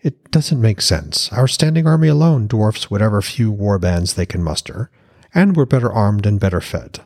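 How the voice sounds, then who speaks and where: A man's voice: on the low side, lively intonation, normal speed, vocal fry, a little mellow. A man voicing an earnest, argumentative Norse god prince who reasons insistently, in a fantasy audiobook. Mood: puzzled.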